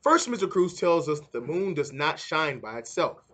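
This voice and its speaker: A man reading a children's book aloud in an expressive, deliberate storytelling way. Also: a little high. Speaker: read-aloud narrator.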